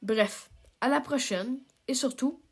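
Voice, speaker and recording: A woman's voice: high voice, takes it slowly, slightly rough. Chatty young Québécois woman. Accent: Québécois French. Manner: chatty, self-deprecating, many fillers. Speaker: amateur animator and creator. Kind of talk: casual self-narration and outro.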